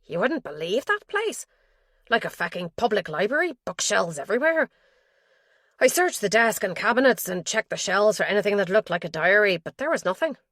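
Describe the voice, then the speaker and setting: A woman's voice: medium pitch, big pitch swings, moderate pace, a bit bright, dry recording, studio quality. A woman doing a crude thug henchman. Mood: coarse exasperated. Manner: rough, coarse, deferential to the boss. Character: crude male henchman, blunt hired thug.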